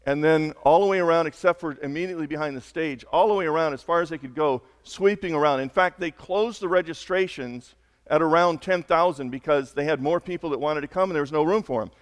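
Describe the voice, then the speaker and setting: A man preaching a sermon as a pastor, hoarse, talking conversationally and building to slow, emphatic peaks. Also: on the high side, long reverb tail. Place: church sanctuary.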